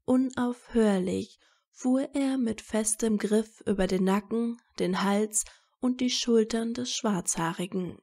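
A woman reading scripted romantic fiction as a narrator in soft, measured storytelling. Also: a little high, gravelly.

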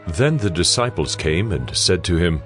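A man's voice: deep voice, expressive, normal speed, fairly bright tone, faint music behind. A man narrating scripture from a script.

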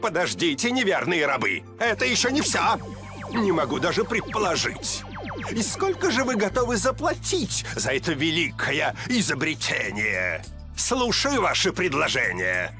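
An actor voicing a scheming, greedy male villain who pitches his product like a showman, in a game cutscene with music behind. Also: wildly swooping pitch, moderate pace. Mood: grandiose. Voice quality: theatrical, salesman-like delivery. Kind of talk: Russian video game dub.

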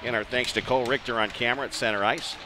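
A man's voice: medium pitch, fairly even intonation, fast. A man with an American accent calling live ice hockey play-by-play from an arena in a conversational, chatty way. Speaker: play-by-play sports announcer. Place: rink-side in an indoor ice arena. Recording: clear arena ambience noise behind the voice.

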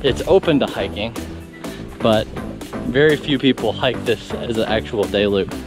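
A man talking casually while out on a long hike, relaxed and chatty with plenty of fillers, recorded outdoors. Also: mid-range voice, moderate pace, music in the background. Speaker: hiker filming his trip. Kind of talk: casual chat while hiking. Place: outdoors on a trail.

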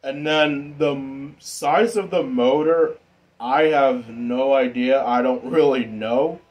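A man giving a casual, informal hobbyist demonstration in an unhurried, rambling way. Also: mid-range voice, a little mellow, poor audio. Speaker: ceiling fan hobbyist vlogger. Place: indoor room with exposed pipes and ductwork.

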